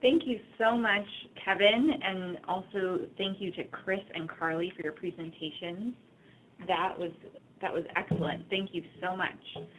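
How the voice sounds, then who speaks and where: A woman's voice: lowish voice, steady tone, unhurried, fairly bright tone, a little hiss or hum, poor audio. A woman moderating a webinar question session, polite and hesitant with many ums.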